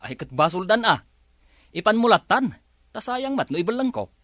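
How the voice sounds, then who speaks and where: A man's voice: high-pitched, big pitch swings, moderate pace, fairly bright tone, no echo, studio quality. A Filipino man acting in a radio drama as a stubborn, hot-headed farmer husband who grumbles and argues. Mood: stubborn, grumbling. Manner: grumbling, argumentative.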